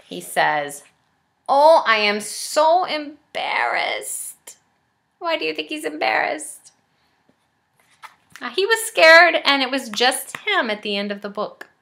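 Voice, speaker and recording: A middle-aged woman reading a picture book aloud to young children, pausing to explain and ask questions. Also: high voice, sing-song, gravelly, sharp tone. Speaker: elementary school staff reading aloud. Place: small living room, indoors.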